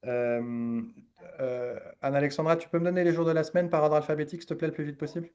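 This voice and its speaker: Higher-voiced man coaching a group. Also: steady tone, fast talker, darkish tone, clear, resonant tone. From Ivory Coast. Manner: encouraging, emphatic repetition. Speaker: workshop speaker. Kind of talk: informal coaching talk to a group.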